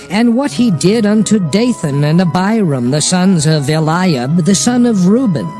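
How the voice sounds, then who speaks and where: A solemn old testament prophet in a bible audio drama, slow declamatory delivery, music underneath. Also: male voice, sits high, mellow. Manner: solemn, declamatory, measured phrasing. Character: Moses, solemn male prophet exhorting his people. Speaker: scripture narrator. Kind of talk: dramatized Bible reading in archaic English.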